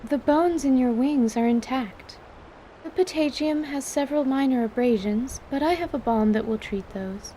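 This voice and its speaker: A woman playing a calm, clinical surgeon in an audio drama, speaking formally and precisely. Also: high-pitched, normal speed, a little hiss or hum, close to an everyday voice, poor audio. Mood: clinical, curious. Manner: formal, precise, articulate diction.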